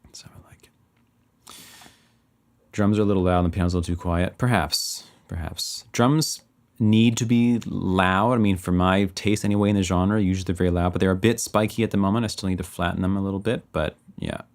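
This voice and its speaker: A man's voice: deep voice, steady tone, fairly quick. A man from British Columbia with a light West Coast Canadian accent, a music producer casually thinking aloud and muttering self-critical notes while mixing a track. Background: faint music playback.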